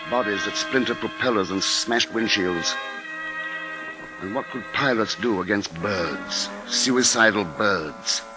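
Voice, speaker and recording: An actor playing an anxious but steady father in a 1950s radio drama, speaking in a measured, brooding, deliberate way. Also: fairly low pitch, low-quality recording. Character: anxious, steady father narrating his family's siege. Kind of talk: 1950s radio drama acting, first-person narration. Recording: old 1950s radio broadcast, music behind.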